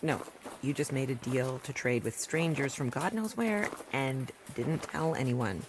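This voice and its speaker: A woman playing a rural doctor and protective mother in a post-apocalyptic audio drama, with a husky voice. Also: rumbling low, a little slow, background noise. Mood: urgent, stern.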